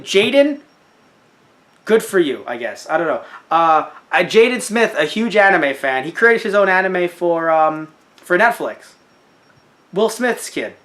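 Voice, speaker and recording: A young man co-hosting a casual podcast, animated and joking, with mocking asides. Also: sits high. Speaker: podcast co-host. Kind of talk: casual chat about a crowdfunding campaign.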